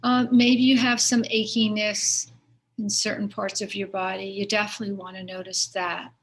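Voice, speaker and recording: A woman leading a guided meditation as a teacher, giving gentle, unhurried instructions with pauses between phrases. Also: mid-range voice, little pitch movement.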